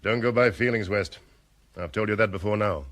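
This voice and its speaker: A man's voice: on the low side, a little fast, a bit bright, close to an everyday voice. A man playing a stiff, sarcastic police superintendent in a vintage radio drama, curt and reproving.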